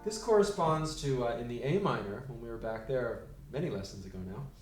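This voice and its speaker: A man teaching a piano lesson, counting beats out loud and explaining chord changes. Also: medium pitch, expressive, brisk. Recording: roomy, distant mic, loud piano. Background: piano playing.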